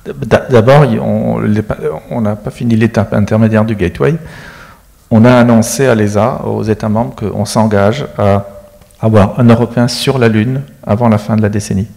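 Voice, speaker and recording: A middle-aged man with a fairly low voice explains technical matters in a matter-of-fact way. He speaks into a handheld mic on a studio set. A long reverb trails his words, as in a big hall. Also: fairly even intonation, moderate pace, vocal fry, darkish tone. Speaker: space agency expert guest. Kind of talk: studio interview.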